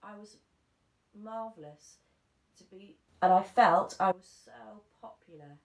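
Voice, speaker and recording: A woman's voice: mid-range voice, slow pace. Woman reading a storybook in an echoey room. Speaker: primary school teacher reading to pupils. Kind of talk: children's storybook read-aloud. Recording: echoey room, faint background noise.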